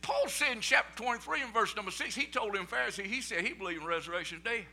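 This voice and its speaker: A middle-aged man with a Southern American accent preaching a sermon, telling folksy stories in plain vernacular and building up to loud preaching peaks. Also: high-pitched, lively intonation, fast talker, soft background music. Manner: folksy storytelling, rising to shouted preaching peaks.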